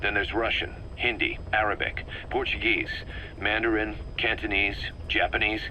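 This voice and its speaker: Low, sharp-voiced military second-in-command. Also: male voice, flat delivery, a little hiss or hum, poor audio. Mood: methodical. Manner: measured, methodical explaining. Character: analytical, wary male military second-in-command briefing his leader. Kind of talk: scripted video game cutscene dialogue.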